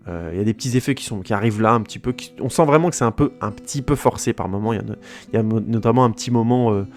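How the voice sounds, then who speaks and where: A man with a low, gravelly voice talks through a film review in a loose, chatty way. He trips over his words and restarts his sentences often. Soft guitar music plays faintly underneath. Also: very fast. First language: French. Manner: rambling, many restarts and hesitations, informal. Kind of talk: casual spoken film review.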